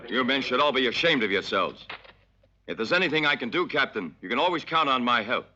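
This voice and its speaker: A man's voice: mid-range voice, lively intonation, brisk, pushed and bright. An actor playing a dignified, dutiful townsman in a western film, formal and upright. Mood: righteous. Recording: dull old film soundtrack.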